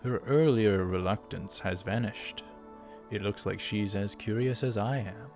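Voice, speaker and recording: A man's voice: lowish voice, unhurried, a little mellow, faint music behind. A man narrating a visual novel as a let's player, reading text aloud with casual asides.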